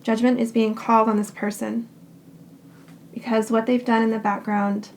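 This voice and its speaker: Raspy, hoarse woman reading tarot. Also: a little high. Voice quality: raspy, scratchy throat. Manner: calm and reassuring, speaks in short unhurried phrases. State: hoarse, getting over a cold. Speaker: tarot card reader. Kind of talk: pick-a-card tarot reading.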